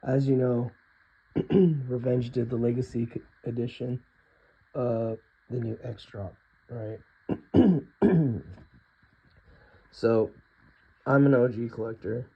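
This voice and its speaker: A hoarse man talking informally and with strong opinions in an unscripted collector's review, often clearing his throat. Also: mid-range voice, slow pace, pauses often, highs cut off. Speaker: hoodie collector reviewing products. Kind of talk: casual unscripted compare-and-contrast review.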